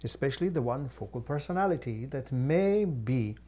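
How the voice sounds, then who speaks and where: A man channeling a guide entity, teaching in a measured, formal way with long pauses and thoughtful hums. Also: medium pitch, lively intonation, dark tone. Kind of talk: slow deliberate channeled teaching.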